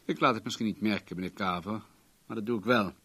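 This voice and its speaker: An actor in a Dutch radio drama playing an imperious, self-satisfied millionaire with a grand, commanding manner. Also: male voice, lowish voice, fast, bright, forceful tone, slightly rough, heavily acted. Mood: assured, defiant.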